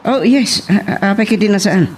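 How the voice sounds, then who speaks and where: A man's voice: sits high, lively intonation, fairly quick, a little hiss or hum. A man with an Ilocano accent playing a polite boyfriend in an audio romance drama. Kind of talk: Filipino radio-style romance drama acting.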